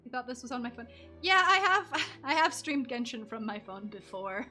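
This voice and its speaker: A woman's voice: on the high side, big pitch swings, normal speed, pushed and bright. A playful, reactive woman streaming a game with casual commentary. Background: game music.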